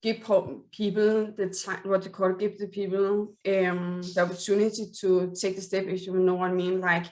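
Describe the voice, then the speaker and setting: Woman host with a Danish accent, non-native English, earnest, pauses to find words; mid-pitched. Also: level tone. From Denmark. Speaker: interview host. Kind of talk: casual interview.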